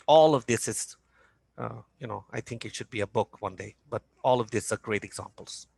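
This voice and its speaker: A man's voice: mid-range voice, normal speed, vocal fry, a little mellow, breathy edge, no echo. A man from Norway speaking in a business panel talk as a company founder, hesitant with many fillers and restarts.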